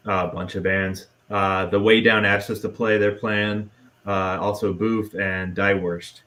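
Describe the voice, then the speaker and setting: Laid-back, lower-voiced man being interviewed. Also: flat delivery, unhurried, bright, forceful tone. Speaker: guest musician in a band. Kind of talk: casual chat, being interviewed.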